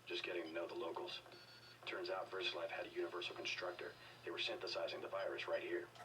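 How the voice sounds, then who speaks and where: An actor playing a stoic, terse, deadpan male government agent in a video game, on a noisy distant capture. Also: low-pitched, fast.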